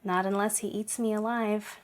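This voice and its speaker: A woman acts as a disillusioned young actress in an audio drama. She speaks at a middle pitch, with a wry, wounded temper underneath. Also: level tone, normal speed, close to an everyday voice. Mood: resigned.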